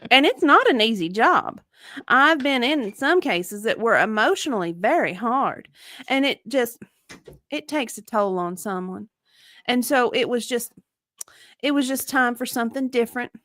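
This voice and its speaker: A woman's voice: on the high side, expressive, a little slow, fairly bright tone, no echo, clean studio sound. A woman acting the part of an evasive, defensive victim advocate under deposition, hesitant and clipped in a dramatic reading. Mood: earnest.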